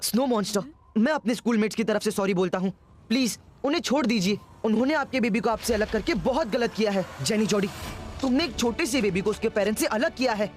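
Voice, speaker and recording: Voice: medium pitch, fast talker, a little hiss or hum, close to an everyday voice. A performer voicing a brave, kind young boy hero in a cartoon, with an earnest and polite manner. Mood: reproachful. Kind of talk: Hindi cartoon voice acting.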